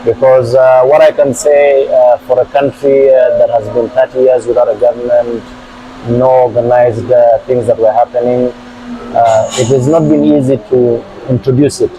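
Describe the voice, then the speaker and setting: A middle-aged man from Uganda, a football club director, answering interview questions with many hesitant filler pauses. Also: mid-range voice, flat delivery, warm tone, slight background noise, rough recording.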